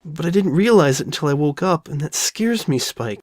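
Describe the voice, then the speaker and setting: A woman's voice: low-pitched, animated, a little mellow, no room sound, studio quality. A woman voicing a frightened, guilt-ridden young female pony who stammers and doubts herself in an audio drama. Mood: anguished. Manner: stammering, halting, anxious. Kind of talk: all-dialogue fan audio drama.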